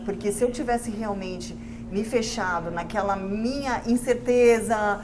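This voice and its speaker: A woman from Brazil, a guest entrepreneur, telling her story in a conversational interview with lively restarts and fillers. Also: medium pitch, a little slow, noisy background.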